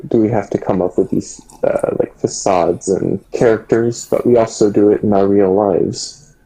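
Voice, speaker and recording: A man's voice: fairly low pitch, fairly even intonation, moderate pace, dark tone, low-quality recording. A man chatting casually as a podcast co-host, phrasing his thoughts hesitantly. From Canada. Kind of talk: casual chat.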